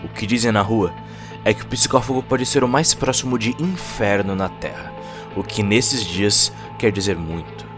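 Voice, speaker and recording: A man's voice: lowish voice, moderate pace. A man with a Brazilian Portuguese accent giving dramatic scripted narration of a cyberpunk story. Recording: music under the voice.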